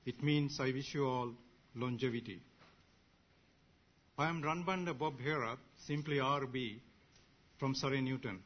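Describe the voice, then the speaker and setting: A man from Sri Lanka whose first language is Sinhalese, giving a measured, ceremonious speech as an assembly member. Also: mid-range voice, lo-fi sound. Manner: measured, ceremonious, short phrases. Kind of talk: formal prepared speech.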